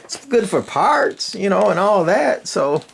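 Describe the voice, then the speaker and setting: An older man with a gravelly voice, giving a casual, rambling hobbyist walkthrough with folksy, dryly sarcastic asides. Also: high-pitched, big pitch swings, a little slow. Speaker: radio hobbyist presenter.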